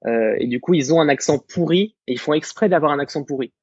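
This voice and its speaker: A young man from France in his twenties, a guest content creator talking through his story with animated self-assurance. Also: on the high side, fast talker, breathy edge, no room sound. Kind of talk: casual podcast discussion. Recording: slightly muffled dry recording.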